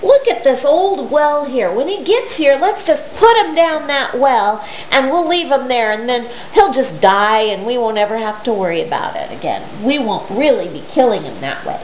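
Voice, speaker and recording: A woman's voice: fairly high pitch, very animated, normal speed, few pauses. A woman voicing resentful, scheming older brothers who grumble and plot in a retold story. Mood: sly plotting. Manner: grumbling, plotting. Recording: roomy, dull lo-fi, faint hiss.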